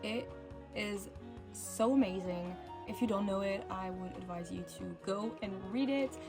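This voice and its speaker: A woman's voice: mid-range voice, measured pace, over music. A young woman whose first language is French, chatting casually and cheerfully with lots of fillers as an art vlogger. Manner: bubbly, chatty, with many fillers and restarts. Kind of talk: casual chat over crafting.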